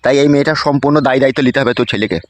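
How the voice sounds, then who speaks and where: A man's voice: medium pitch, very fast, no echo, close to an everyday voice. A man voicing an angry, coarse, blustering village elder in a comic cartoon, with a rural West Bengal accent. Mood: stern, demanding. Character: angry, foul-mouthed village elder judging a dispute.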